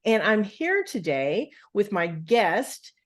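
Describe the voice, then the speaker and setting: A woman's voice: medium pitch, very animated, takes it slowly. A woman hosting a podcast interview, chatty, with plenty of fillers and restarts. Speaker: podcast host.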